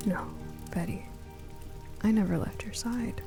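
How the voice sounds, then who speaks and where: A woman voicing a gruff, reassuring husband who has returned as a ghost in an audio drama. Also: medium pitch, a little slow, over music.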